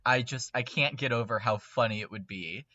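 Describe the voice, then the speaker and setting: A man chatting casually and excitably as a fan on a podcast, with an emphatic, animated manner. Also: medium pitch, no room sound, dull audio. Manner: animated, emphatic, quick to laugh. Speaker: podcast panelist.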